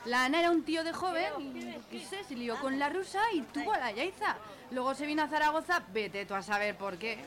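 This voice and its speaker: Woman as brash market woman, blunt slang, raised pitch, comic radio serial, busy chatter behind. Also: animated, brisk. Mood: know-it-all.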